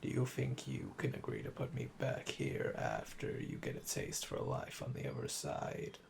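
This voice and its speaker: Casting: male actor as smug yandere assassin for roleplay audio, heavy vocal fry, slow seductive drawl, noisy ambience behind. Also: medium pitch, wildly swooping pitch, full character voice. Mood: teasing, seductive. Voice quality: gravelly, fry-laden, often dropping to breathy murmur. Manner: slow, teasing, seductive, drawling pet names. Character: calm, flirtatious yandere assassin in custody, smug and menacing. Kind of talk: roleplay audio acting, intimate ASMR.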